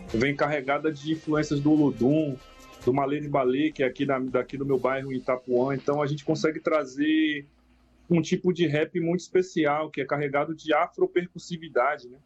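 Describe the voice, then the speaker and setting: A man from Salvador speaking, calm and reflective as he answers an interviewer. His voice sits a touch high for a man. Faint music plays underneath. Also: a little fast. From Salvador, Brazil. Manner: reflective, frequent "né?" tags. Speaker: rapper guest.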